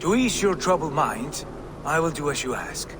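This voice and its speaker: An actor playing a steadfast, principled Norse god in a video game, speaking with solemn, admonishing gravity. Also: male voice, fairly high pitch, lively intonation, moderate pace, noisy background, close to an everyday voice. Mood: grave, calming. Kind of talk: video game cutscene acting.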